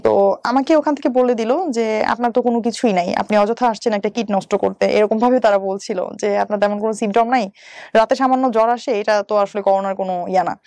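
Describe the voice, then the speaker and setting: A woman's voice: medium pitch, fairly even intonation, fast talker, darkish tone, no echo, studio quality. A woman in her thirties with a Bangladeshi accent, telling a personal story step by step as an interview guest, with some English words mixed in. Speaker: interview guest, bank employee.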